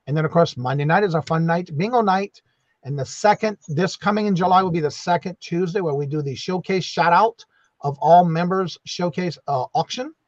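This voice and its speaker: A man's voice: fairly high pitch, dry recording, highs cut off. A man hosting a casual live stream, chatty and full of fillers, saying y'all a lot. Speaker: host.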